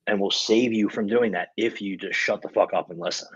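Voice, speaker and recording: Man, 20s, lowish raspy voice. Blunt, sweary, emphatic motivational talk, muffled lo-fi recording. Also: brisk, no echo. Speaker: podcast speaker. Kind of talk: casual motivational rant.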